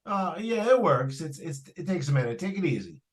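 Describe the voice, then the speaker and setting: A fussy real estate agent, played by a man in a 1940s-style radio play. His voice is a little high. He hesitates as he begins, then lays out the facts earnestly and without fancy. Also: sing-song, a little fast. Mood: flustered. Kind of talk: 1940s live radio drama acting.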